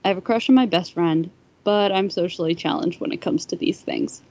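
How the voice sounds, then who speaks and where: A woman's voice: lowish voice, brisk, darkish tone, dull audio. A woman reading a first-person story aloud on a podcast, sometimes stumbling over the words. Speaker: fan fiction podcast reader.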